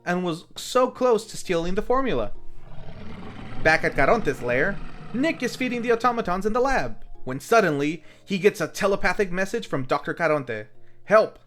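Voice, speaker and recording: A man's voice: sits high, fairly bright tone. A man narrating a scripted, comedic film recap as a reviewer, with wry joking asides. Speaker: film reviewer, video host. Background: background music.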